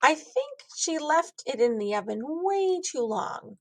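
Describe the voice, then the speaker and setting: A woman reading a children's storybook aloud as an expressive narrator. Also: sits high, takes it slowly. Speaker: storybook reader.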